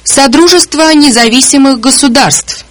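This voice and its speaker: A woman speaking single words slowly and deliberately as a model for learners. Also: sits high, dry recording. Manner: deliberate, articulated word by word. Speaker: native speaker on a language course recording. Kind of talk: slow, careful model pronunciation.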